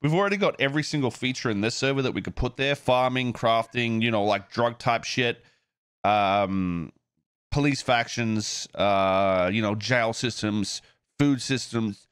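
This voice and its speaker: A man's voice: mid-range voice, measured pace, fairly bright tone, clear, resonant tone, no room sound, clean studio sound. A man talking casually and off the cuff on a stream, swearing freely and thinking aloud, like a game developer chatting to viewers.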